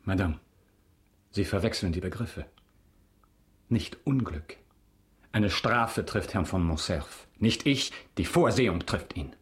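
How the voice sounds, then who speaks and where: Avenging nobleman, low voice, stern, bitter, formal delivery, radio play. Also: lively intonation. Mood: accusing. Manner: formal, grave, measured. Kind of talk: German radio drama acting.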